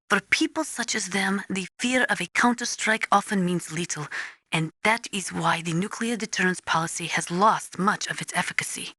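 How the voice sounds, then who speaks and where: Nuclear analyst, video game briefing, earnest, precise, didactic, bright timbre, mid pitch. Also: female voice, no echo. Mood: grave, regretful. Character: earnest female nuclear weapons analyst advising a soldier. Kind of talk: scripted expository video game voice acting.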